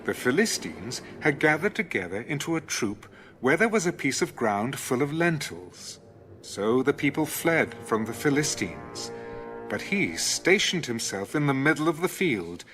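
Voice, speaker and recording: Lively male scripture narrator over music. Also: medium pitch. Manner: formal, measured storytelling. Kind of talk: dramatic audio Bible narration. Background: music behind the voice.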